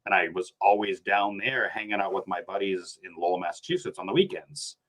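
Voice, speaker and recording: A man, a podcast guest chatting casually, animated and rambling, laughing often. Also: low voice, a little fast. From New Hampshire. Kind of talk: casual sports chat.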